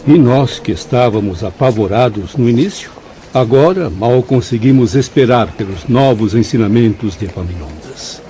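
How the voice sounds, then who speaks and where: A man plays an eager, humble student in a radio drama. His voice is dark and mid-pitched, slightly dull in sound, with music behind. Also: lively intonation, moderate pace, lightly acted.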